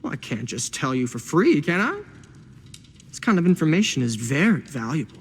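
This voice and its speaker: An actor playing a flamboyant, cheeky schemer in a video game, theatrical, teasing and smug. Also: male voice, a little high, big pitch swings, breathy edge, full character voice. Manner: theatrical, teasing, drawn-out exclamations. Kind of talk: expressive video game acting. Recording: long hall reverb, faint background noise.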